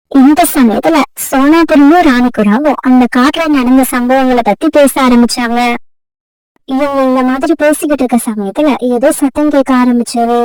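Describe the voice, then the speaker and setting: A woman reads a scripted story for children. Her voice is high yet mellow, and the sound is dry and free of room echo. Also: clear, resonant tone, far from the mic.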